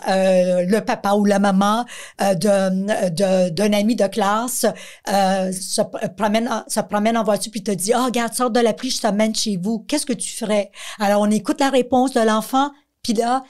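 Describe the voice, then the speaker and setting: A woman's voice: mid-range voice, fairly even intonation, moderate pace, a little mellow. A middle-aged woman with a Quebec French accent, speaking as an interview guest and explaining advice through everyday examples. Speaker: guest, director of a missing-children organisation.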